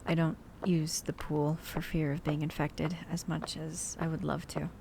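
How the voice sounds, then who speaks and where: A woman's voice: deep voice, level tone, measured pace, gravelly, darkish tone, a little hiss or hum, poor audio. A woman playing a chatty, cautious zombie-apocalypse survivor in an ASMR roleplay audio drama, talking to the listener in rambling, hesitant asides. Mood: caring, practical.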